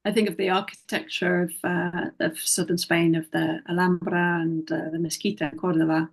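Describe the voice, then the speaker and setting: A woman's voice: on the low side, level tone, normal speed, creaky. A woman in a workshop audience answering a question hesitantly, searching for words. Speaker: workshop participant. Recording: voice from far off the mic.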